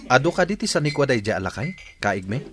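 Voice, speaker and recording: A man's voice: mid-range voice, big pitch swings, fast talker, natural voice. A man playing an anxious, obedient young underling in a radio drama, questioning and deferential.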